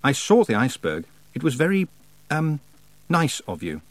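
A man voicing a sensible, sharp-tongued young witch girl in a storybook, plain-spoken and firm. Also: fairly high pitch, huge pitch swings, moderate pace, no room sound, pitched above the performer's own voice. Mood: awkwardly polite.

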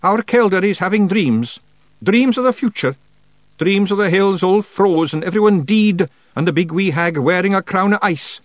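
A man's voice: sits high, expressive, normal speed, dry recording, voice pushed up. A man voicing a tiny, wily male fairy clan leader with a Scottish accent, glib and careful, in an audiobook. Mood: earnest. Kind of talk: audiobook character voice.

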